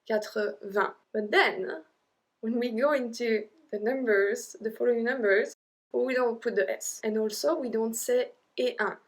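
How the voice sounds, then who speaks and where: French-accented lady teaching a lesson, slow and careful, chatty bits in between. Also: fairly high pitch. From French-speaking Europe. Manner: slow, deliberate drilling, chatty asides. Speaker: language teacher. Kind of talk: online language lesson.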